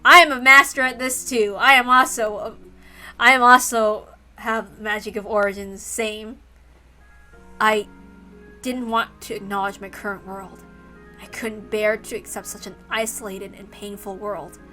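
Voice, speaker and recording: A woman's voice: medium pitch, level tone, a little slow, sharp tone, low-quality recording. A woman voicing a lonely, wistful young witch apprentice from a visual novel in a confessional way. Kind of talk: visual novel character read aloud. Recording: music behind the voice.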